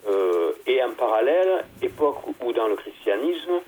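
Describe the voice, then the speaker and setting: A man, a theology guest, explaining at length in a halting conversational way, with many fillers and restarts. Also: mid-range voice, very animated, darkish tone, husky.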